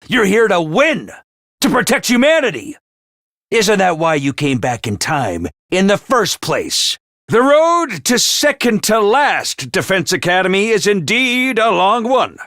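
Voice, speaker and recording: An actor playing a brisk, scheming male academy official who is theatrical and cold, swinging between booming orders and hushed asides. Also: very animated, no room sound, full character voice. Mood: commanding. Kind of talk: video game voice lines. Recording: dry studio voice recording.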